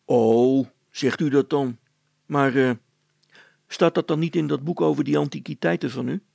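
A man's voice: mid-range voice, moderate pace, creaky, darkish tone, dry recording. A man voicing a wily, grumpy workman in a storybook, blunt and prone to stammered excuses. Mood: grumpy, sceptical. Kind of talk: storybook character acting.

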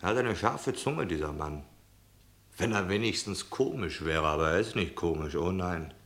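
A man's voice: low voice, lively intonation, normal speed, a bit bright. A man acting a disdainful, grumbling onlooker in a radio drama, chatting in a dry, disapproving way.

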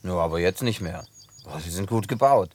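Casting: wary, plain-spoken country man, lowish pitch, colloquial radio play delivery, faint outdoor noise. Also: animated, normal speed, a little mellow. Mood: flirtatious. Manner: blunt, colloquial, teasing. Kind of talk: naturalistic German radio play acting.

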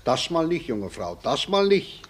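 An actor playing a garrulous village gossip in a radio play, emphatic and rambling, with a husky dialect voice. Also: male voice, mid-range voice, sing-song, unhurried. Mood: insistent. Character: garrulous male village gossip bringing news. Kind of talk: German radio play acting.

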